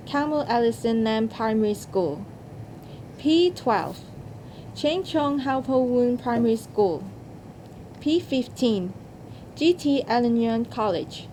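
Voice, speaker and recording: A woman's voice: on the high side, warm tone, a little hiss or hum, low-quality recording. A woman co-hosting a results announcement, reading from a script.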